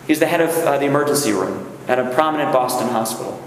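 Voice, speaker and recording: Rabbi addressing a gathering, conversational, keeps asking "right?", booming reverb from a big hall. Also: male voice, fast talker. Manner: conversational, checks in with the audience. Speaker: rabbi leading a workshop. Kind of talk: speech to a gathering. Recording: echoey hall, faint room noise.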